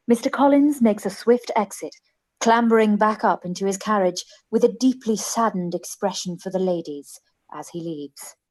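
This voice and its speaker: A woman's voice: medium pitch, moderate pace, darkish tone, rough recording. A woman reading scripted stage directions as the narrator of a radio play.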